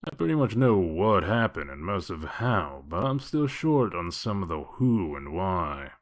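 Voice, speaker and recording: A gruff comic-book detective acted by a man with a low voice. He talks in a dry, wry, evasive way, sardonic and unhurried to explain himself. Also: big pitch swings. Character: gruff, sardonic male detective of exiled fables. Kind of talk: comic dub character acting.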